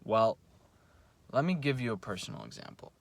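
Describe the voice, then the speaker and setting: A man's voice: fairly low pitch, wildly swooping pitch, moderate pace, vocal fry. A young man whose first language is Russian, talking in a blunt, profane, self-coaching vlog monologue at home at night. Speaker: vlogger. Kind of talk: casual motivational monologue. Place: home, at night before bed.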